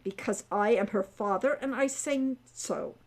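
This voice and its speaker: A woman's voice: fairly high pitch, measured pace. Woman voicing a stern, furious centaur father. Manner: formal, indignant, scolding speech. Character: stern, angry centaur father, farmer and archer.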